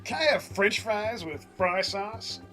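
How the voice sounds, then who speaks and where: A man's voice: high-pitched, animated, slow pace, sharp tone, exaggerated voice. A man playing an eager, gullible lonely guy in a parody radio ad dialogue. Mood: eager, amazed. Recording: music bed behind the voice.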